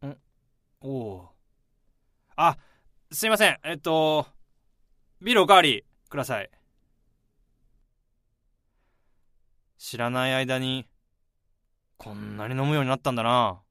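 A young man acting in a radio drama as a steady, caring friend, speaking in a measured, reflective way. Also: medium pitch, smooth, no room sound, studio quality. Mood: concerned, casual. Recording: music and crowd sounds behind the voice. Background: music and crowd sounds.

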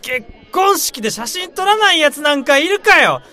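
A man acting out a young guy in a radio drama, high and bright in voice. He whines and sulks in blunt casual speech, with big swoops in pitch. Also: big pitch swings, moderate pace, exaggerated voice. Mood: indignant. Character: sulky, whiny young man, lovelorn and tactless.

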